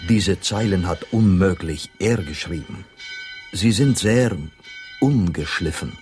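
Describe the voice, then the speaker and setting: An actor playing a courtly, wry Spanish gentleman in a German radio drama, formal and measured, with a Spanish accent. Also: low voice, expressive, faint music behind. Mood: intrigued, grave. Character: courtly Spanish gentleman, middle-aged or older, dignified and wry.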